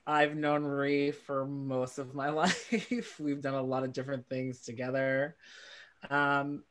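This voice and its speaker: A man from Massachusetts talks on a video call in a slow, deliberate way, laughing easily. His pitch sits in the middle range. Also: level tone, a bit bright. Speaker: guest dancer and meditation teacher. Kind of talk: casual chat.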